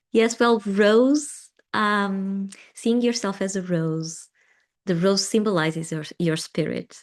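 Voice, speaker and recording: Slow, low-voiced woman teaching meditation. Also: vocal fry. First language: not English. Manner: gentle and affirming, laughs often, with pauses and ums. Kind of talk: live guided meditation session, answering chat comments.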